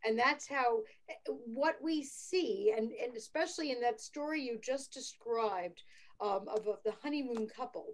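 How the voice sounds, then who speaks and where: High-voiced enthusiastic woman host. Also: normal speed. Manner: enthusiastic, many fillers. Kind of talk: casual interview chat.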